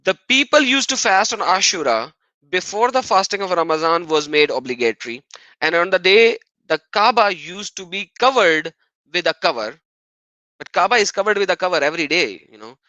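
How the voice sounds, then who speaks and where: A man's voice: high voice, animated, moderate pace, sharp tone, dry recording, dull audio. A man hosting an argumentative live stream on religion, often mocking and repeating phrases for emphasis.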